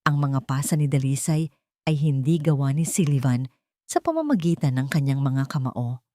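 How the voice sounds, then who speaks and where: A woman narrating a scripted dramatic story in measured phrases with emphatic pauses. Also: very deep voice, expressive, mellow, dry recording, studio quality.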